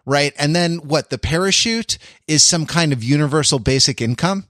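A man chatting casually as a podcast panelist, in a halting, wry and teasing way. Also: a little high, a bit bright, dry recording, clean studio sound. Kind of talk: casual chat.

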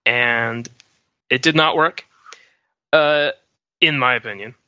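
Very bright, sharp-voiced man chatting on podcast. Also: medium pitch, slow pace, clean tone, dry recording. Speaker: podcast panelist. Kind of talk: casual pop-culture discussion. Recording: dry but dull lo-fi audio.

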